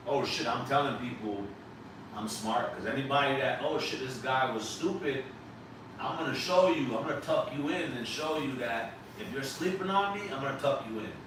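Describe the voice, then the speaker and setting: A man hosting a live podcast, talking emphatically and animatedly to his guest and casually dropping swear words. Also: mid-range voice. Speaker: podcast host. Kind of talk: casual interview chat. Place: live podcast set on a couch. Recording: echoey room, distant mic, background noise.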